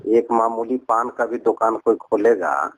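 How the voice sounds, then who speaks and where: A man's voice: on the low side, measured pace, no room sound. A man with a Bihari accent on a recorded phone call, talking earnestly and at length about personal matters, circling back and repeating his points. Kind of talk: rambling personal account in conversation.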